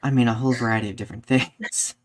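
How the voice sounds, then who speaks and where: Casual mid-pitched podcast co-host, chatty. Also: steady tone, fast talker, crowd noise. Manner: conversational, peppered with "like". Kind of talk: casual discussion, reading out live comments.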